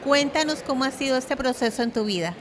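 A woman's voice: sits high, animated, a little fast. A middle-aged woman doing an upbeat broadcast interview into a handheld microphone at a convention booth. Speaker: radio interviewer. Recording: crowd chatter at event.

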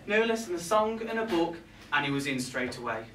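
Resentful, catty male reindeer played by a young man, comic stage monologue, lively intonation, arch asides, heard from across a noisy room. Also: on the high side, brisk, distant mic. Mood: indignant. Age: young adult. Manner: indignant, gossipy, arch asides to the audience. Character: catty, resentful male reindeer grumbling about Santa's crew. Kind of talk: comic stage monologue read to a live audience. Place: small pub room with a live audience. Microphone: far camera. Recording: echoey room from afar, background noise.

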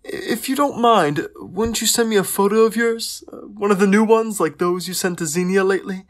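A man's voice: high voice, normal speed, close to an everyday voice. Man as a shy, lovestruck young prince, lightish voice. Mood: shy, wistful. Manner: hesitant, polite, wistful. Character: shy lovestruck young tsarevich, courteous and wistful. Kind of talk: dramatic reading of an 1890s love letter.

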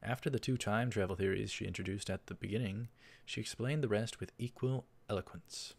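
A man's voice: fairly low pitch, brisk, vocal fry. A young man hosting a let's play, reading visual novel text aloud and adding casual commentary. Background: game music.

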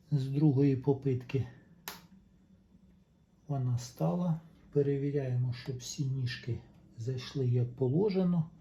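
A man's voice: medium pitch, mellow, lo-fi sound. A man giving unscripted, step-by-step repair instructions in short, methodical phrases. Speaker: repair tutorial host.

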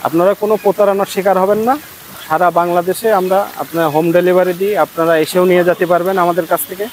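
A man's voice: high-pitched, flat delivery, fast talker, a little hiss or hum, rough recording. A man in his thirties running a hatchery, explaining fish and prices in plain, repetitive sales talk on a lapel mic outdoors. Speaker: hatchery manager.

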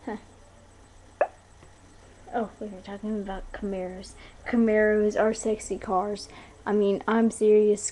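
A young woman chatting casually into a webcam in a bedroom, rambling in an informal, playful, easily sidetracked way. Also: mid-range voice. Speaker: video blogger. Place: small bedroom, indoors. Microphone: webcam mic at close range. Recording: noisy cheap webcam audio.

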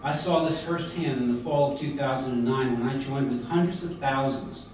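A middle-aged American man giving an earnest prepared speech at a podium, often pausing on fillers and restarts. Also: mid-range voice, a little mellow. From United States. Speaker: convention speaker. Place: large hotel conference hall, indoors. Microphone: podium mic, filmed by a far camera. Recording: echoey hall from far off, background noise.

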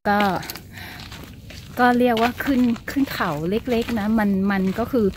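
A woman's voice: a little high, fairly even intonation, measured pace, noisy background. A woman, chatting politely as she vlogs a hike outdoors, filming on a hand-held phone. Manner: chatty, polite, many filler sounds. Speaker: vlogger. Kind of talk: casual travel vlog narration. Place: outdoors on a mountain trail. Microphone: phone camera held at arm's length.

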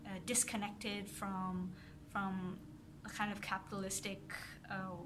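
A woman's voice: mid-range voice, flat delivery, a little slow, background noise. A woman in her thirties, born in Singapore, rambling casually about her life in her first vlog, with many fillers and restarts, recorded on a phone with earphone mic. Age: 30s. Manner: hesitant, many fillers and restarts, soft-spoken. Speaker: artist vlogger. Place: indoors in front of a pink backdrop. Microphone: earphone mic, phone camera close up.

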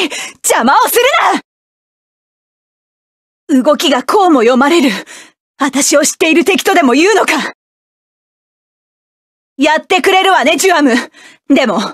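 A woman voicing a proud, hot-tempered young mecha pilot, barking sharp, clipped orders in game battle lines. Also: sits high, lively intonation, normal speed, long pauses, no echo, studio quality. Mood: grim, determined.

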